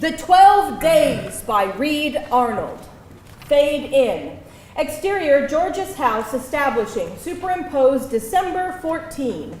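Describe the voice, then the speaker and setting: High, animated woman narrating a screenplay. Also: takes it slowly. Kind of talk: scripted narration of stage directions at a live table read. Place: live event venue with audience. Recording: roomy live venue, faint background noise.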